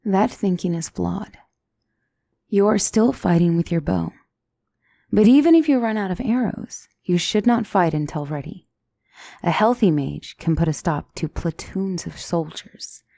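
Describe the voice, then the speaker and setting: A woman playing a gentle demonic tutor in a scripted ASMR roleplay, speaking softly and deliberately as a calm battle mentor. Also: lowish voice, gravelly, dry recording, studio quality. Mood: calm, instructive. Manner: measured, deliberate, soft-spoken, instructive.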